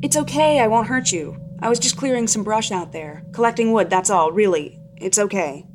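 A woman's voice: mid-range voice, moderate pace, slight background noise, voice pushed up, lightly acted. Woman doing a falsely genial, creepy woodsman. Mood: falsely reassuring. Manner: coaxing, patronizing. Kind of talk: horror audio story.

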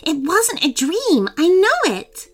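Woman acting an eager, high-pitched storybook girl. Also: wildly swooping pitch, slow, soft background music, heavily acted. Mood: insistent. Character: eager, insistent young girl, big sister. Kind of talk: children's storybook character voice.

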